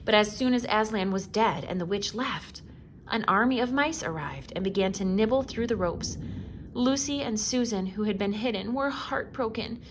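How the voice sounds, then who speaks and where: A woman giving a theatrical read-aloud of a children's storybook, expressive and full of dramatic pauses. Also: medium pitch, a little hiss or hum, rough recording.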